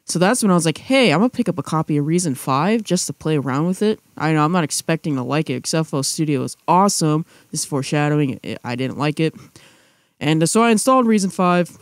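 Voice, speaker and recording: A man's voice: on the high side, animated, quick pace, no echo, clean studio sound. A young man chatting casually as a podcast co-host, rambling with restarts and fillers. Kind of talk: casual chat.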